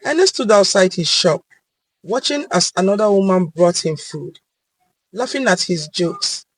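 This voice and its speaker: A woman tells a dramatic scripted tale with deliberate phrasing. Her pitch is mid-range and moves a great deal, and the recording is dry and close, with hardly any room sound. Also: animated, slightly rough, no room sound, poor audio. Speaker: storyteller. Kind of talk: dramatic scripted story narration.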